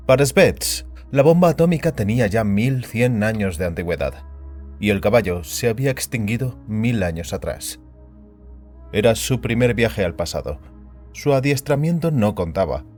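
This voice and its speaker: A man narrating an audio story in a scripted, dramatic style with a measured, solemn storyteller's delivery. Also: mid-range voice, animated, a little mellow. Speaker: narrator and host of an audio fiction show. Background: background music.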